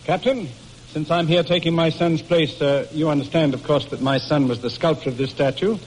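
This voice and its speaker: A man's voice: on the high side, steady tone, fairly quick, a little mellow, lightly acted. An actor playing a stern, articulate middle-aged American judge and father, formal and dryly sarcastic, in an old-time radio drama. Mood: smoothly assertive. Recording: noisy vintage radio recording.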